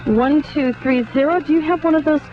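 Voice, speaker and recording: A woman's voice: high voice, steady tone, a little fast. A woman hosting a live call-in radio show, fielding listeners' calls. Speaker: radio talk show host. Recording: muffled lo-fi radio recording.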